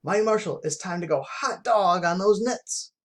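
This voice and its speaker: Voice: medium pitch, moderate pace, natural voice. A storybook reader voicing an earnest, commanding young male pup who leads a team of heroes. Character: heroic young male police pup leader, determined. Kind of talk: children's storybook read-aloud.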